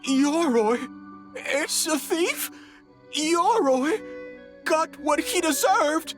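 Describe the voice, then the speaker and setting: A woman's voice: high voice, extremely slow, soft background music. A woman playing a small, earnest, gullible tanuki spirit in a video game, declaiming with childlike wonder. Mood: doubtful.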